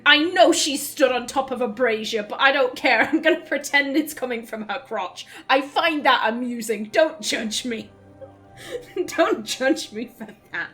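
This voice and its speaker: A woman's voice: high-pitched, unhurried, fairly bright tone, soft background music. A young woman chatting through gameplay as a let's play host, giggling and stumbling over her words. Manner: chatty, giggly, self-interrupting. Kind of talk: casual gameplay commentary.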